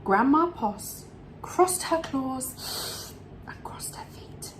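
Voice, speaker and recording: A woman reading a children's story aloud expressively, with dramatic pauses and drawn-out words. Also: sits high, lively intonation, in a whisper. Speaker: storybook reader for an English club.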